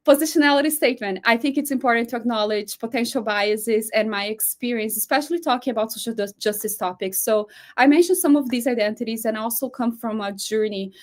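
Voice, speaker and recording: A woman's voice: a little high, fast, few pauses. A woman from Brazil whose first language is Portuguese, speaking with a Brazilian accent and giving a chatty webinar lecture over a video call, full of fillers. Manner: warm, chatty, frequent fillers and laughs. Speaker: guest speaker, professor.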